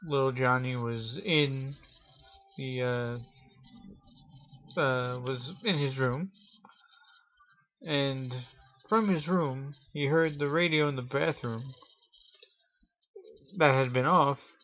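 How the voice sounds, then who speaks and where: Slow-talking man telling spooky stories, lo-fi. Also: mid-range voice. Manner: theatrical showman address with drawn-out pauses. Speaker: host of a Halloween story show. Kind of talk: spooky storytelling to an audience. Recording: dull lo-fi audio with clear noise.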